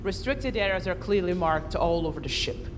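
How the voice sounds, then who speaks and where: A woman's voice: lowish voice, lively intonation, normal speed, close to an everyday voice. Actress doing strict ship security lady, curt, bossy, echoey with noise behind, audio drama. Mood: stern, cold. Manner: commanding, curt. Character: stern, officious female ship security enforcer. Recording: reverberant ship interior, background noise.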